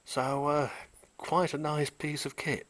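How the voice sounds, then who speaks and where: A man giving an unhurried, technical show-and-tell of an old tape recorder he repaired, pausing often with "uh" as he points out its features. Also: a little high, flat delivery, a bit bright. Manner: unhurried, technical, with frequent "uh" pauses. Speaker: vintage electronics restorer. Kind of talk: casual show-and-tell demonstration.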